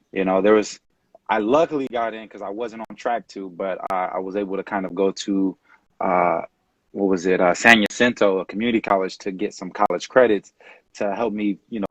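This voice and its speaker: A man in his late twenties with a low voice, chatting loosely and filling his talk with uh and you know. He sounds like he is on a video call. The audio is dry and a little dull. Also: no echo. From California. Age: late 20s. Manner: relaxed, rambling, many fillers. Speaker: guest. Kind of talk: casual online interview.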